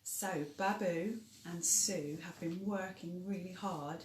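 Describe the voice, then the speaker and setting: A woman's voice: fairly low pitch, slow pace, darkish tone, a little hiss or hum. A middle-aged woman chatting casually as she introduces and co-presents children's stories. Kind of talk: casual spoken introduction and chat. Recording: echoey room.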